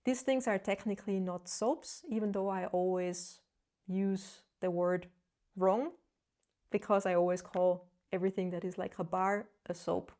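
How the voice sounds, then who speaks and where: A woman's voice: mid-range voice, fairly even intonation, measured pace, mellow. A woman with a German accent, a non-native English speaker, explaining skincare findings in an earnest, casual vlog with light self-deprecating humor. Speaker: travel vlogger.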